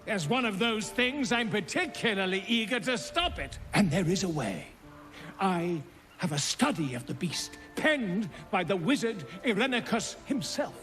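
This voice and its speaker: A courteous, theatrical scholar in a fantasy game. His voice sits high and swings widely in pitch. He phrases things slowly and ornately, with earnest drama over soft music. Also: lively intonation. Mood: eager. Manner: florid, precise, dramatic phrasing. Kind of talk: cinematic video game acting.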